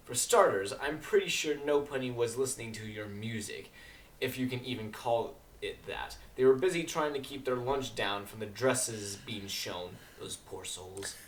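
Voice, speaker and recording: A man's voice: medium pitch, lightly acted. Man voicing a prim mare in echoey room. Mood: disdainful. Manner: prissy, deliberate, disdainful. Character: prim, haughty female pony cellist, cultured. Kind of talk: amateur dramatic fan fiction reading. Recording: echoey room with audible background noise.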